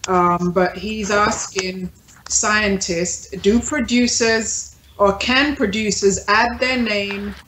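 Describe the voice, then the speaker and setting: Woman co-host, slow speech, lots of ums, echoey call line, faint hiss. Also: medium pitch, fairly even intonation, fairly bright tone. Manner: slow and careful with many fillers. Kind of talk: reading a listener question on a call.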